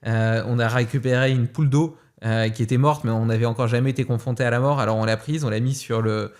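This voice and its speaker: A man hosts a casual podcast conversation. His voice sits at an ordinary middle pitch. He talks reflectively and hesitates often as he thinks aloud. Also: fairly even intonation, fast talker, a little mellow. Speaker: podcast host.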